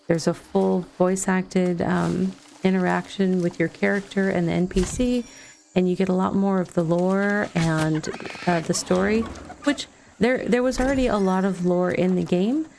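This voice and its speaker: A woman giving casual let's play commentary and chatting with viewers in a rambling, halting way. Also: lowish voice, fairly even intonation, creaky, a little mellow, a little hiss or hum. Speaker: game streamer.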